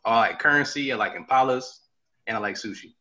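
A man in his late twenties introduces himself plainly and without fuss. His tone is bright and forward. The podcast audio sounds muffled. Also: medium pitch, fast talker, a little hiss or hum. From Charlotte, North Carolina, USA. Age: 20s. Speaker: podcast co-host. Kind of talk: casual chat.

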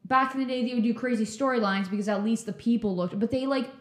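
Woman co-host, raspy, animated ranting, roomy echoey sound. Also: fairly high pitch, fast. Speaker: podcast co-host and tech person. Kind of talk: casual chat.

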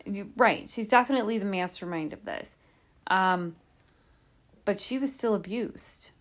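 A woman's voice: medium pitch, measured pace. A woman hosting a podcast, telling a true crime case in an informal, conversational way with asides and rhetorical questions. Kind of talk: conversational storytelling from notes.

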